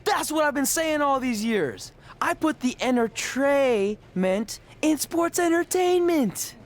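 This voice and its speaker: An actor playing a cocky but earnest young pro wrestler in a video game cutscene, wry and boastful. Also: male voice, very high-pitched, animated, takes it slowly, exaggerated voice. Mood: excited, boastful.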